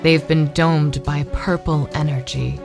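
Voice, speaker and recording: A woman narrating superhero action in the third person for an audio drama, measured and dramatic. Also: low-pitched, steady tone, gravelly, a little mellow, soft background music. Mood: tense storytelling.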